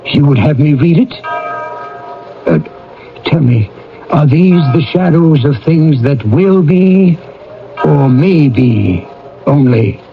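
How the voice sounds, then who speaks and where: An actor playing a reformed old miser in a theatrical radio drama, with an emotional, exclamatory delivery. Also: male voice, a little high, animated, takes it slowly, pauses often. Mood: fearful dread. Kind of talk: theatrical radio drama acting with first-person narration. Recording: muffled old radio broadcast with music. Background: music.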